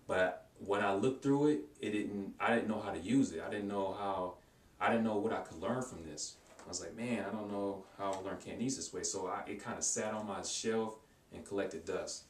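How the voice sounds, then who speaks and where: A man's voice: deep voice, roomy sound, recorded from a distance. A man casually talking viewers through his language-learning books, stopping and restarting often as he gives direct advice. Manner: rambling, many restarts and repeated words; informal, advising viewers directly. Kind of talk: casual talk to camera, showing books.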